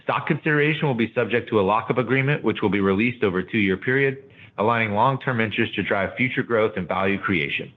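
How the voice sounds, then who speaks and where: A man reading formal, scripted financial remarks as a company executive on an earnings call. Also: on the low side. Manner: formal, measured corporate reading. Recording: narrow, tinny phone-like line.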